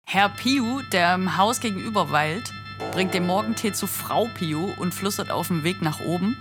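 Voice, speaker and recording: A woman's voice: lowish voice, moderate pace, close to an everyday voice. A woman narrating a literary radio play from a script. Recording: music bed behind the narration.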